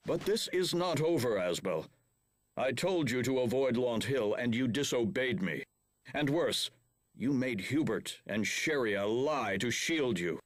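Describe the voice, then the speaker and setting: A man's voice: mid-range voice, no echo, clean studio sound, close to an everyday voice. An actor playing a stern, middle-aged lord and father in a video game, speaking formally and commandingly. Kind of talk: English video game cutscene acting.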